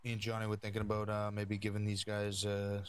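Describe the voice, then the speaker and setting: Mafia crew man, improvised by a male player: low, quick, fairly even delivery, casual and obliging. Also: clean tone, close to an everyday voice. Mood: businesslike. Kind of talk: improvised crime roleplay on a livestream.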